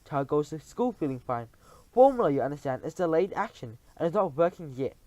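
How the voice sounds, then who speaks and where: A man's voice: on the high side, lively intonation, a little fast, mellow, no echo, highs cut off, close to an everyday voice. A man reading aloud and voicing a tiny, tyrannical female witch leader who shrieks orders in stilted, odd grammar. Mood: lecturing.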